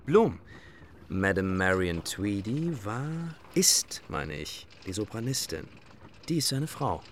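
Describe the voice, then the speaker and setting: An actor playing an easygoing gentleman who shares dry, knowing gossip in a radio drama. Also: fairly low pitch, big pitch swings, slow, slight background noise. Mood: informative.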